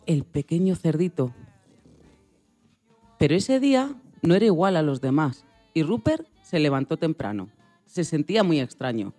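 A narrator telling a scripted children's story with expressive delivery. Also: mid-range voice.

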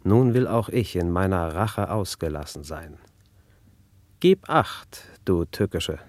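Spiteful vengeful nobleman, low-voiced. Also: animated, takes it slowly, a little mellow, no room sound. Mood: excited, commanding. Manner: gloating, menacing, commanding. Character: spiteful, vengeful nobleman obsessed with cruel revenge. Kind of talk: classical radio drama acting.

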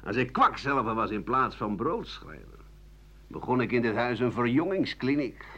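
A disgraced old writer in a radio drama, bitter and sardonic. His grumbling, theatrical delivery swings widely in pitch. The old recording sounds dull and muffled. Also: big pitch swings, moderate pace, a bit bright. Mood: mocking boast. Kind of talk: 1980 Dutch radio play monologue.

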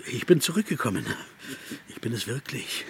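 An actor in a radio drama playing a shrunken, sly tempter who poses as a former pupil, speaking with insinuating, reproachful persuasion. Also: male voice, medium pitch, very animated, normal speed, heavily acted. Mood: insistent, sorrowful. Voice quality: husky.

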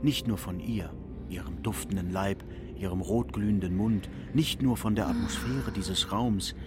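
A man's voice: lowish voice, moderate pace. A man narrating a literary radio play in a scripted style, with music behind his voice.

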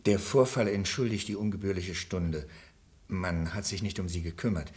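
An actor playing a formal, courteous, politely insistent French bank official with a husky voice in a 1950s radio crime drama. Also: male voice, low-pitched, normal speed, darkish tone. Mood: apologetic, formal.

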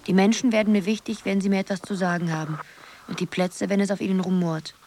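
A dreamy young woman muses her way through a surreal radio play, performed by an actress. Her mid-pitched voice is wistful and halting, with faint noise and ambience behind it. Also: steady tone, darkish tone, natural voice. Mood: dreamy, musing. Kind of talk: poetic radio drama monologue.

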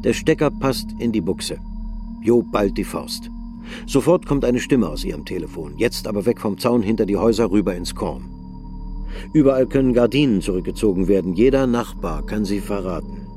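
Male narrator, radio drama, measured storytelling, low voice, faint music. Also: expressive, darkish tone.

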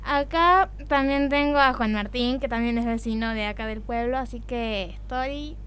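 A woman's voice: a little high, moderate pace, fairly bright tone, smooth. An Argentine woman from Córdoba chatting casually in a radio interview. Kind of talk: casual chat. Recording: faint background ambient noise.

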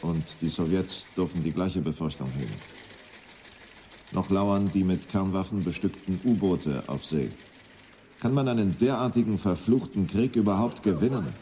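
Disillusioned general, radio drama: very deep, mellow-dark, sombre and bitter, slight background noise. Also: male voice, animated, normal speed. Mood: grim, bitter. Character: grim, disillusioned male NATO general. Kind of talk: radio play military report.

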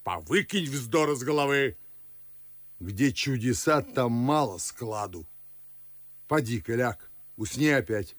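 A man's voice: medium pitch, expressive, takes it slowly, long pauses, fairly bright tone, dry recording. An actor playing a pompous, suspicious middle-aged father and master in a classic verse radio drama, grumbling and scolding theatrically. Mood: sardonic, grumbling.

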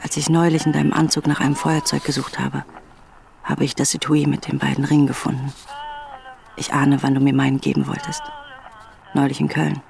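A woman's voice: a bass voice, level tone, a little fast, vocal fry, pauses often, darkish tone, heavily acted. An actress playing a worn-out, independent and outspoken young working woman in a radio drama, speaking intimately over music. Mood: confiding, weary.

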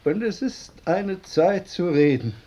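A drunken eccentric vagrant holds forth like a prophet of doom in a radio play. The voice is high and very deliberate, an incantation that can swell toward shouting. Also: male voice, lively intonation, lo-fi sound, exaggerated voice. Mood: solemn, incantatory. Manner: declamatory, incantatory, building to shouts.

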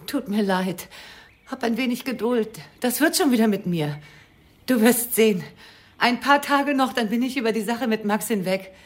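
A woman's voice: on the high side, moderate pace, natural voice. A woman playing a fragile, despairing middle-aged mother in a radio drama, speaking anxiously and pleadingly. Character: fragile, despairing abandoned mother of a teenage son. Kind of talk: German radio crime drama acting.

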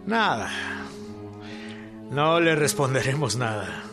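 Slow, shrewd Mexican general. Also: male voice, medium pitch, big pitch swings, over music. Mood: calculating. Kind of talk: radio drama dialogue.

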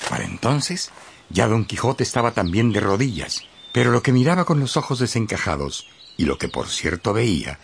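A man narrating a radio drama in a lively scripted storytelling style. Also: fairly low pitch, normal speed, gravelly, a little hiss or hum.

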